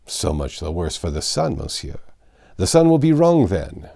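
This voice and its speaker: A man's voice: low voice, sing-song, moderate pace, vocal fry, dark tone, full character voice. A man voicing a voluble, proud and trusting French servant in a storybook, chatty and emphatic. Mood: indignant, proud.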